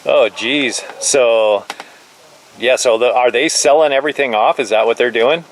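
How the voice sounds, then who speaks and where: A man chatting casually on two-way radio, halting and agreeable. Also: mid-range voice, slight background noise, rough recording. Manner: agreeable, halting, filler-heavy with many repeated "like"s. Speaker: amateur two-way radio operator.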